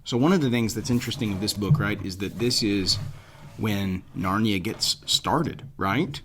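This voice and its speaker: A man's voice: fairly low pitch, moderate pace, fairly bright tone, a little hiss or hum. A man hosting a podcast, talking in an informal, conversational way. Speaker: podcast host.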